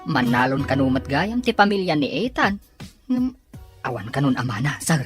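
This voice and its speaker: Low, animated underling, acted by a woman. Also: moderate pace. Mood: inquisitive. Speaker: actor in a Filipino serial drama. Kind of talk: acted drama dialogue. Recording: music behind the voice.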